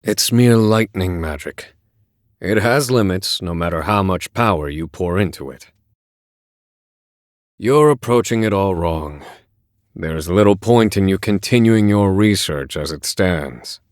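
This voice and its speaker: A man playing a stern, intense scholar of magic in a video game, blunt, measured and exacting. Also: low voice, lively intonation, no room sound, studio quality. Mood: cold, dismissive. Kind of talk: dramatic game voice acting with brooding narration.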